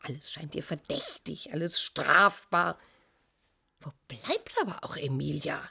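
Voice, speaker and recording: A woman's voice: sits low, lively intonation, unhurried, creaky, pushed and bright, husky, exaggerated voice. An actress playing a doting, proud, genteel middle-aged mother, with refined theatrical diction in classic radio drama style. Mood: troubled, reflective. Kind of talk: 1950s German radio drama acting.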